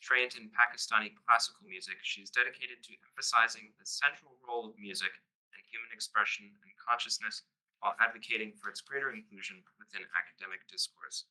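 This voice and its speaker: Male moderator with a very bright, sharp tone; formal, announcing intro. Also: on the low side, steady tone, fast talker, poor audio. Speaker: event moderator. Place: public library reading event.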